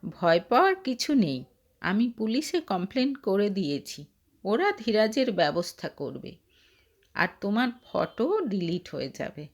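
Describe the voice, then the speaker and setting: Woman acting an earnest husband, slow and expressive. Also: medium pitch. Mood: gently reassuring. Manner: earnest, reproachful questioning and advising. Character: stern, protective husband with old-fashioned values. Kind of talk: dramatised storytelling.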